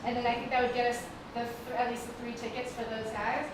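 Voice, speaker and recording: A woman gives a chatty, filler-heavy talk from a stage, her voice sitting a bit high. She sounds far from the mic in a very echoey hall. Clear background noise runs under her. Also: flat delivery, fast. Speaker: guest presenter. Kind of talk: casual live talk to an audience. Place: stage in a performing arts hall. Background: audible background noise.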